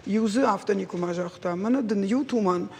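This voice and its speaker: A native Ossetian-speaking man with a husky voice, giving a halting, unscripted account. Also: sits high, slight background noise. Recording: very echoey, reverberant room.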